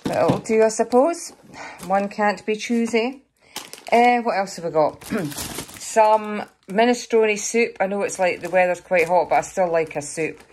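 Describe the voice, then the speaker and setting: A middle-aged Scottish woman chatting casually over a grocery haul in her home kitchen, rambling a little, slightly hoarse. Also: medium pitch, a little slow, darkish tone, a little hiss or hum. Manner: chatty, rambling, with fillers and small laughs. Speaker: vlogger showing a grocery haul. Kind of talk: casual chat to camera. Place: kitchen counter at home, indoors.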